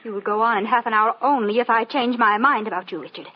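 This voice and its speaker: An actress playing a bitter, vengeful young would-be star in a 1940s radio drama, intense and melodramatic, on a muffled old recording. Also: female voice, fairly high pitch, quick pace, slight background noise, close to an everyday voice. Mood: bitter, accusing.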